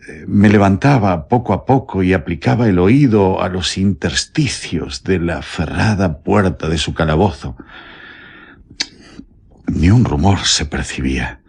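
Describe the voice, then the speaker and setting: An actor with a Castilian accent playing a terrified, guilt-ridden prison warden confessing haltingly in a radio drama. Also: male voice, sits low, creaky, darkish tone, slightly rough, full character voice. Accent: Castilian Spanish. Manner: halting, trembling, pleading. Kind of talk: Spanish radio drama acting.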